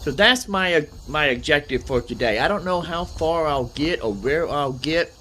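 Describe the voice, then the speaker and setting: A man's voice: on the high side, lively intonation, normal speed, sharp tone, slight background noise. A man giving casual gameplay commentary as a streamer, thinking aloud in a rambling way and often repeating himself. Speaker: gaming streamer.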